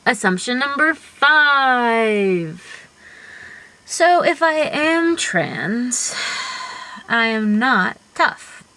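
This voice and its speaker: Young female-bodied genderqueer vlogger, high voice, slow earnest pace, reflective and thoughtful, home video-diary delivery. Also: bright, forceful tone, rough recording. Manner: reflective, earnest, thinking aloud with fillers. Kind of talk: personal vlog monologue.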